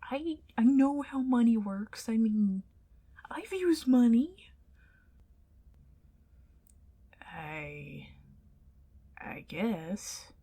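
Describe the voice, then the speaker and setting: A woman's voice: a little high, warm tone, full character voice. A woman playing an indignant, flustered tsundere ghost in an ASMR roleplay, stammering and defensive as she protests. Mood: flustered, unsure.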